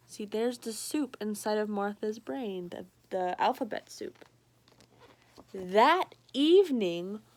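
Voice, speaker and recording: A woman reading a picture book aloud to a child, with playful, chatty asides. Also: fairly high pitch. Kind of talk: read-aloud storytelling for a child. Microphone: recorded to a screen for remote viewing.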